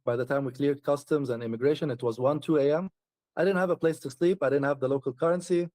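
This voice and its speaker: A man's voice: medium pitch, steady tone, quick pace, a little mellow, no room sound. A man chatting casually as an interview guest, often laughing and restarting his sentences. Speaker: traveler guest.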